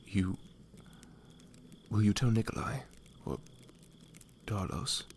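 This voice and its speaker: A man voicing a devoted, protective knight, soft, breathy and earnest, in a romantic ASMR fantasy roleplay. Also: fairly low pitch, slow pace, slight background noise. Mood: wary, uneasy. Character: devoted young knight, protective, wary, tender lover.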